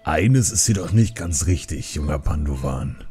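Old panda brewmaster, played by a man: very low voice, jovial, courteous, kindly storyteller, light music underneath. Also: lively intonation, moderate pace, warm tone. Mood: playful. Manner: good-natured, polite, chuckling. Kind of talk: audiobook character acting.

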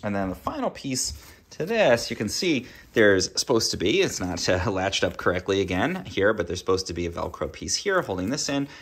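A man hosting a casual how-to video at home, explaining each step in a relaxed way while handling objects in a kids' playroom, filming with a phone. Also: on the low side, normal speed, breathy edge. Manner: relaxed and explanatory, with fillers and small laughs. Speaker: DIY video host.

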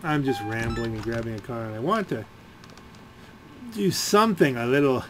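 A man's voice: medium pitch, animated, fairly bright tone, poor audio. Rambling male gamer with background noise. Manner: halting, rambling, thinking aloud. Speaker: gaming video creator. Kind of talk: rambling casual gameplay commentary.

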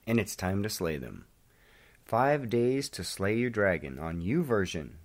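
A man's voice: fairly low pitch, sing-song, measured pace. A man hosting a devotional, reading aloud and praying. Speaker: devotional host.

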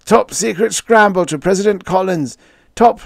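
A desperate male astronaut, horrified and urgent. The voice is raised high and strained, moaning and gasping in a close, dry sound. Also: normal speed, gravelly, no echo.

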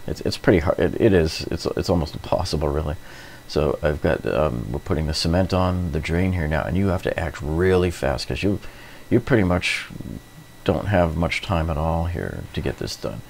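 A man's voice: extremely low pitch, expressive, normal speed, creaky, a little mellow, a little hiss or hum. A middle-aged man narrating a hands-on renovation tutorial in an informal, explanatory way, often repeating himself. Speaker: DIY renovation host.

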